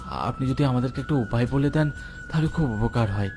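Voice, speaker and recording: A man voicing an anxious young villager in an animated horror story, hesitant and deferential, with a stammer. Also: mid-range voice, brisk, natural voice. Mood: pleading. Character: anxious young village man seeking a holy man's help. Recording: music under the voice.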